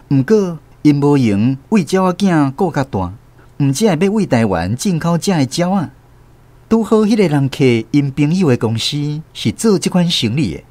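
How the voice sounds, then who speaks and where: A man's voice: fairly high pitch, a little mellow, no echo. A man with a Taiwanese accent playing a storyteller who recalls his village childhood in a radio drama.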